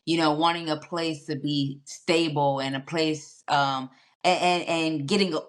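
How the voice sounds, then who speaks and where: A woman's voice: deep voice, fairly even intonation, a little slow. A woman hosting a casual panel conversation over a video call, chatty and loose with lots of fillers. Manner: chatty, many fillers and restarts. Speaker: podcast host.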